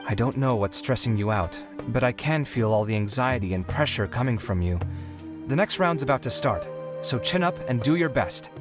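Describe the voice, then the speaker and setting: A man plays a kindly young architect from a video game. He has a mid-pitched voice and speaks frankly and conversationally, with music clearly underneath. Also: animated, moderate pace, close to an everyday voice. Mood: frank, encouraging.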